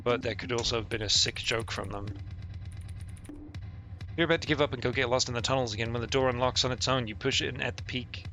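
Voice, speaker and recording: A man's voice: medium pitch, fast, bright, forceful tone, soft background music. A man giving casual, sweary and sardonic commentary as he plays a game and reads its text aloud. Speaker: game streamer.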